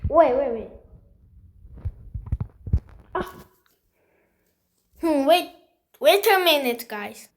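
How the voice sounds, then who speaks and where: A woman's voice: high voice, lively intonation, drawn-out words, pauses often, a little hiss or hum. A woman giving a casual screen-recording tutorial, muttering to herself in a hesitant, repetitive, easily exasperated way. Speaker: video tutorial creator.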